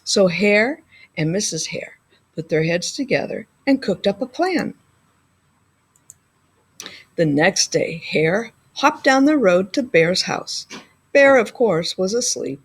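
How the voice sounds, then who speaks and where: A woman's voice: medium pitch, lively intonation, slow, long pauses, slightly rough. Woman reading a children's storybook aloud. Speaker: school librarian reading aloud. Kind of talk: expressive children's storybook narration.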